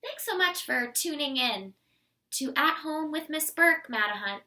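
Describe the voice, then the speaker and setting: A woman with a high, bright voice talks straight to young children as a read-aloud host. She takes her time, recorded a little way from the mic. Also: lively intonation, measured pace. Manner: addresses young children directly. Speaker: elementary school educator reading aloud. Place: at home. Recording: voice some distance from the mic.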